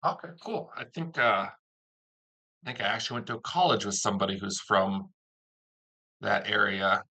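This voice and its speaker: A man's voice: on the low side, measured pace, creaky, long pauses, sharp tone, breathy edge. A man hosting a casual interview, chatting as the producer of an audio drama.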